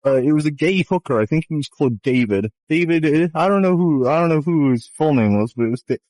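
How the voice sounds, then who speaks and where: A man's voice: fairly high pitch, animated, quick pace, a little mellow, no room sound. A man improvising a comedy puppet show in mock showman patter, rambling and swearing crudely. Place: online VR chat session.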